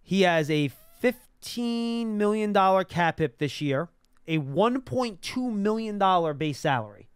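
High-pitched, clear-voiced man hosting sports talk. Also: animated, a little slow, no echo, clean studio sound. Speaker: sports talk show host. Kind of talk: live sports talk and analysis. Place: small indoor broadcast studio. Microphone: close broadcast mic on boom arm.

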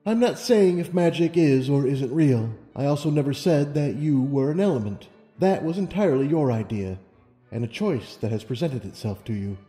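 A man plays a stately pony monarch from a storybook. The voice is mellow and deliberate, calm and wise, gently probing as it goes. It carries in a long, echoing hall reverb. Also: medium pitch, soft background music, lightly acted. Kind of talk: audiobook character reading.

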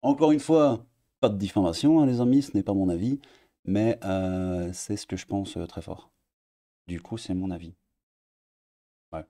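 A man in his thirties with a Belgian French accent, talking in a casual, sarcastic commentary vlog from his home desk and jumping into high mocking tones. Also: moderate pace, pauses often. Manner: sarcastic, animated, swings pitch up for mock emphasis. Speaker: YouTuber commentator.

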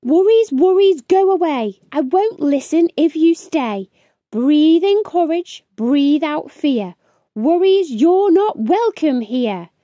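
A woman's voice: sits high, lively intonation, takes it slowly, dark tone, no room sound, studio quality, voice pushed up. A woman voicing an anxious young male beaver in a children's storybook, expressively fretting and chanting to himself for courage. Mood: determined chanting. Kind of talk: children's storybook read-aloud.

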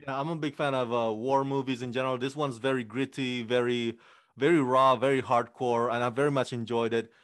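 A man's voice: mid-range voice, steady tone, a little fast. A man hosting an interview, asking long, upbeat questions into a studio microphone over a video call. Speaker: interview host. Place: room with green backdrop, indoors. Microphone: studio mic on arm, video call.

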